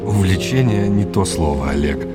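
Deep-pitched man, cultured mentor, courteous, measured storyteller, guide-like audio drama delivery, loud music underneath. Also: lively intonation. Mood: admiring. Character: cultured, courteous male gallery expert, enthusiastic mentor.

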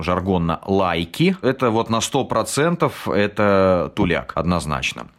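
A middle-aged man with a Tula Russian accent, his voice sitting very low but swinging widely as he talks. He explains things like a tour guide, filling pauses with drawn-out "uh" sounds and acting out little dialogues. Relaxed, conversational delivery. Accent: Tula Russian, akanye (non-stressed O said as A). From Tula region, Russia. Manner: hesitant with frequent "э" fillers, storytelling, acts out dialogues. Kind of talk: casual explanatory chat.

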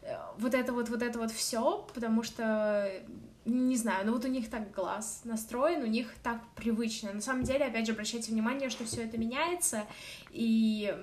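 A young woman co-hosting a casual podcast, giving advice in a lively, animated way with fillers and small laughs. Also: a little high, moderate pace. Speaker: podcast co-host.

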